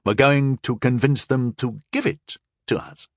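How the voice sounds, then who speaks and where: A man's voice: mid-range voice, measured pace, no room sound, clean studio sound. A man voicing a bold young squad leader who gives orders with authority. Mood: calm scheming.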